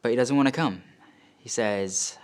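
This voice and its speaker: A man acting a stage monologue as a wealthy, bitter son, telling of family conflict in short, halting, emphatic phrases. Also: medium pitch, lightly acted. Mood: wounded, indignant. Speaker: actor performing a monologue. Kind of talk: dramatic stage monologue, first-person storytelling. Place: studio.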